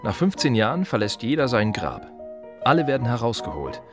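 An actor playing a plain-spoken, reflective gravedigger in a radio play monologue, talking to the listener in short, repetitive, wry phrases. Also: male voice, medium pitch, sing-song, brisk, music in the background, close to an everyday voice.

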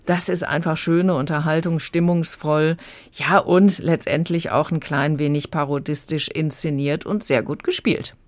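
A woman's voice: low-pitched, steady tone, a little slow, dry recording. A woman speaking as a radio critic in a conversational book review, close to a studio microphone. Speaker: audiobook critic on a radio show.

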